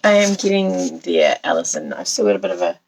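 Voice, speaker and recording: A New woman with a croaky voice from a cold, chatting as she demonstrates a papercraft card on a live stream and greets viewers by name. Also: on the low side, wildly swooping pitch, brisk, a little mellow, a little hiss or hum. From New Zealand.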